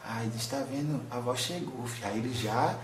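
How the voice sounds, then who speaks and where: A wise, soothing old grandmother spirit, as a male medium speaks for her, counselling in a tender voice of middle pitch. She keeps calling her listener son. The sound bounces around an echoey room with a faint hiss underneath. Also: fairly quick, natural voice. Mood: gentle.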